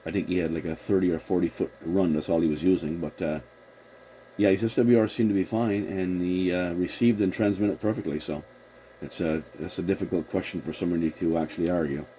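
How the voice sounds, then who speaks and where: A man's voice: low voice, fairly even intonation, fairly quick, mellow. A man chatting casually as a CB radio operator, agreeable and a little hesitant, with a noisy recording. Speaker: CB radio operator hosting the contact.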